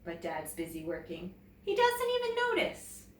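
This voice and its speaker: A woman teacher reading a picture book aloud to children in an expressive, deliberate, animated way. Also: fairly low pitch. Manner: expressive, deliberate, addressing young listeners. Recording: roomy, echoey, distant mic, faint noise.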